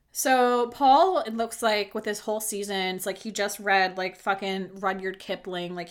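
A woman's voice: mid-range voice, fairly bright tone. A young woman hosting a podcast, recapping a reality show in casual, sarcastic chat, full of filler words and swearing. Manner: chatty, sarcastic, lots of filler likes and swearing. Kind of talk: casual solo commentary.

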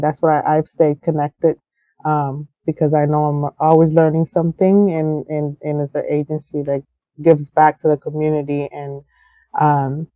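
A woman guest on an interview, talking casually and haltingly with many fillers and restarts. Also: sits low, dry recording, muffled recording. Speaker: program member guest.

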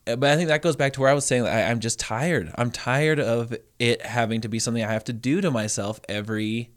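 A man co-hosting a podcast in casual talk, often halting as he searches for words. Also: medium pitch. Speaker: podcast co-host.

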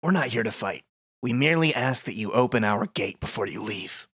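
A man's voice: on the low side, lively intonation, a little fast, fairly bright tone, husky, voice pushed down, heavily acted. A man voicing an arrogant, menacing spirit of darkness from a video game script, coldly commanding in formal, declamatory threats. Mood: calm menace.

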